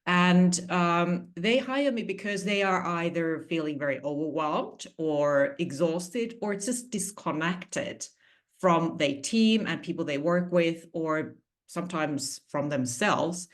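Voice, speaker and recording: A woman with a Finnish accent, speaking as a guest coach on a video call in a measured, explanatory way with slightly non-native phrasing. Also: lowish voice. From Finland. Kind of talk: interview answers.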